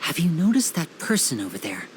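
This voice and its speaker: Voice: medium pitch, huge pitch swings, fairly quick, slight background noise. A voice actor playing a polite, showy young stage magician in a game cutscene dub. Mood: gentle. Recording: game score underneath.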